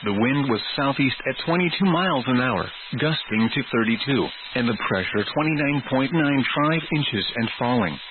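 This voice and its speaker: A synthesized male voice reads scripted emergency weather bulletins in a formal, measured, impersonal way as an automated radio announcer. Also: mid-range voice, animated. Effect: synthesized text-to-speech voice. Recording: tinny narrowband weather radio, clear static noise.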